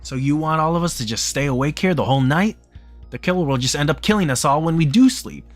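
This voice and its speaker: A man voicing a forceful, suspicious man who argues insistently, in a visual novel read-aloud. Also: a little high, fast, no room sound, faint music behind, voice pushed up, close to an everyday voice. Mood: argumentative, alarming. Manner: insistent, argumentative. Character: forceful, suspicious man pushing to confront a killer.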